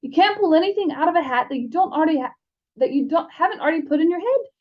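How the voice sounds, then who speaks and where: A woman's voice: extremely high pitch, animated, quick pace, lo-fi sound, pitched above the performer's own voice. A woman voicing a chatty young wizard schoolboy in a storybook reading, offhand and rambling. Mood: puzzling aloud.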